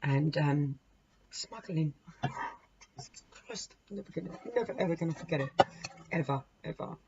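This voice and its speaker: Woman, 40s, hoarse, chatty tarot reader, self-mocking, dull lo-fi sound. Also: sits low, lively intonation, moderate pace, a crowd in the background. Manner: chatty, laughs at herself, repeats and restarts. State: hoarse, losing her voice. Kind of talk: casual tarot reading to camera. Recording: dull lo-fi recording with faint noise.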